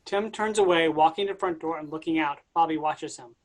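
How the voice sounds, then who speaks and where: A man reading stage directions aloud in a plain, even way during a staged reading. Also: fairly high pitch, fairly quick, rough recording.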